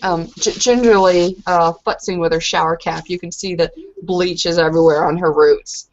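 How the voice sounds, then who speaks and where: A woman's voice: fairly low pitch, steady tone, normal speed. A woman playing a tabletop roleplaying game, improvising narration of her character's actions. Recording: muffled lo-fi voice recording.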